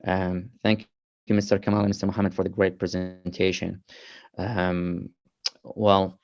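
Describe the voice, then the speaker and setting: Deep, flat-toned man on a call. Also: measured pace, lo-fi sound. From Iraq. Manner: polite, hesitant, many fillers. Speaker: panel speaker. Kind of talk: panel remarks.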